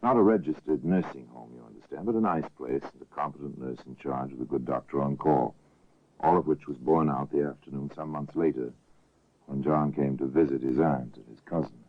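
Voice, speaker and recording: Grave, very deep-voiced radio narrator. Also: male voice, slightly rough. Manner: slow, grave and theatrical. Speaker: host and narrator. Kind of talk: 1950s radio drama narration. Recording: tinny restored old radio broadcast.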